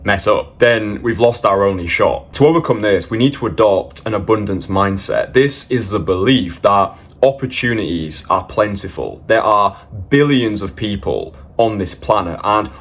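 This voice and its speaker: A man's voice: lowish voice, animated, moderate pace, a bit bright. A young British man talking as a dating coach, giving blunt, direct advice and calling the listener "bro". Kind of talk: scripted motivational voice-over.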